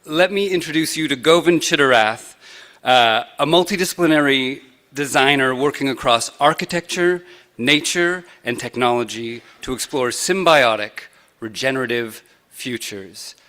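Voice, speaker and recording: A man hosting a live event on a campus, giving earnest thanks and introducing a guest, with halting fillers. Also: medium pitch, sharp tone. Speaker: host.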